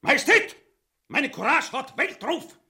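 An actor playing an aggrieved, boastful army captain in a grotesque radio comedy, plaintive and melodramatic in Viennese dialect. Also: male voice, very high-pitched, sing-song, unhurried, pushed and bright, full character voice. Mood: eager, boastful.

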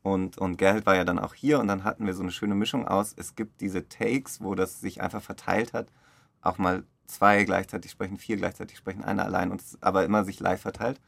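A man's voice: sits low, fairly even intonation, fairly quick, creaky, fairly bright tone, dry recording. A man speaking in an interview as a radio play director, explaining enthusiastically in loose, searching sentences. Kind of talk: interview conversation.

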